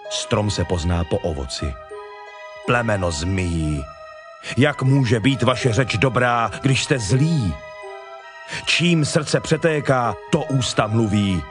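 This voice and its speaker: A male actor plays a solemn, authoritative holy man in a dramatized scripture recording. His fairly low-key, deliberate declamation is stern and grave. Clear music plays behind him. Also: natural voice. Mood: stern, warning. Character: Jesus, solemn, stern, authoritative preaching teacher. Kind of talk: dramatized Bible reading in Czech.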